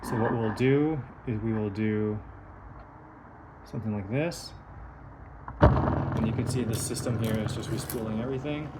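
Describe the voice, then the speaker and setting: A man's voice: lowish voice, normal speed, pauses often, heavy background noise. A man giving a hands-on technical demonstration of a device in a methodical, explanatory manner. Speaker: engineer presenting a prototype device.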